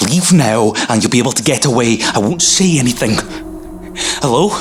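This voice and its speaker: A man acting a lonely, grieving character in an audio drama, hushed and hesitant as he talks to himself and his pet. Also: medium pitch, animated, normal speed, soft background music, lightly acted. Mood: nervous, warning.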